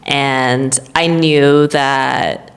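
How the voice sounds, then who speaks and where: A woman with a notably low speaking voice talks straight to camera about her own life as an herbalist. She grew up in Florida and speaks in plain American English. Her delivery is candid and unhurried, with a reflective, personal tone. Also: steady tone, clean tone. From Florida, United States.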